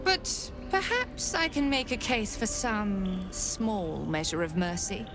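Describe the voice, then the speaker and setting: Cold, imperious cult high priestess. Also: female voice, animated, music in the background. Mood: gloating, menacing. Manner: haughty, deliberate, menacing. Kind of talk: dramatic video game acting.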